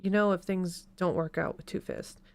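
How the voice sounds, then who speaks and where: A woman's voice: on the low side, normal speed, creaky. A woman reading the part of a guarded young male street orphan, firm and restrained.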